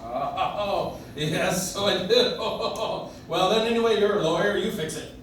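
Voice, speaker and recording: A man's voice: sits high, slow pace, a bit bright. A man playing a kindly but easily indignant old man in a live staged radio play. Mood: cheerful. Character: kindly, indignant old man believing himself Santa Claus. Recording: roomy, distant audience recording with noise.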